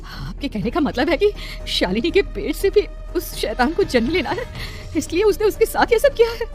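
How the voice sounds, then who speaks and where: A woman's voice: very high voice, big pitch swings, moderate pace, darkish tone, faint music behind. A woman playing an anxious but brave village aunt in a horror cartoon, urgent and pleading. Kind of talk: Hindi horror cartoon voice acting.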